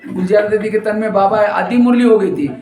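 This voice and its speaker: A man's voice: sits high, fairly even intonation, a little fast, a crowd in the background. A man giving a spiritual discourse, telling funny stories and acting out what people said. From India. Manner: storytelling with jokes and acted quotes. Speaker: spiritual teacher. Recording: roomy hall echo.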